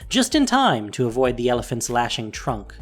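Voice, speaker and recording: A man's voice: mid-range voice, huge pitch swings, moderate pace, a bit bright. A man narrating a fantasy audio drama in a dramatic, scripted storytelling style. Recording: faint music behind voice. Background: faint music.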